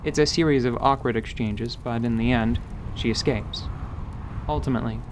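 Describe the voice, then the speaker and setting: A man's voice: medium pitch, steady tone, moderate pace. Narrator, man, middle register, scripted storytelling for a fantasy radio play, faint background noise.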